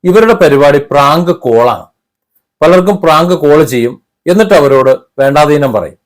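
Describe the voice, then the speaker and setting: A man with a fairly high voice delivers opinion commentary. He speaks haltingly, leaning on his points with emphasis and a critical edge. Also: animated. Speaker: commentary vlogger.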